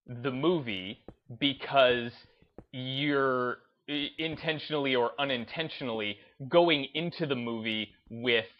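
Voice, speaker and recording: A man's voice: mid-range voice, muffled recording. A man giving unscripted opinion commentary in a hesitant, rambling way, often restarting his phrases. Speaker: host of a gaming video channel.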